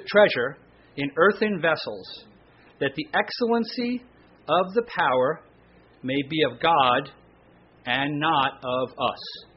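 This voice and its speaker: A middle-aged man preaching a sermon into a stand microphone in a church, with a loud voice, telling casual self-deprecating stories and slowing down to read scripture. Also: fairly high pitch, breathy edge, highs cut off. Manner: chatty, self-deprecating storyteller, slows down for scripture reading. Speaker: pastor. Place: church sanctuary. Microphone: stand microphone, stays close.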